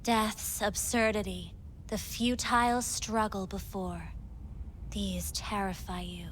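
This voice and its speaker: An actress playing a calm, probing female android companion in a game cutscene, speaking in a soft, breathy half-whisper. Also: mid-range voice, slow pace, slight background noise, lightly acted, poor audio. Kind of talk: video game cutscene acting.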